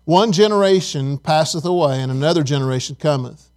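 A man's voice: on the high side, fairly quick, a little mellow. A man in his fifties preaching a sermon, reading scripture and praying, in an earnest, conversational way with frequent repeated words. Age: 50s. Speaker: pastor.

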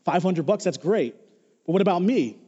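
A preacher putting on an eager average guy on a plane, quick to agree. The voice is the preacher's own, mellow and a little high. A dull recording with long hall reverb. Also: expressive. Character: eager ordinary man on a plane.

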